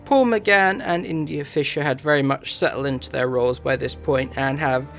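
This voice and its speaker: A man narrating a review in measured, somewhat halting phrases. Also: mid-range voice, a bit bright, faint music behind.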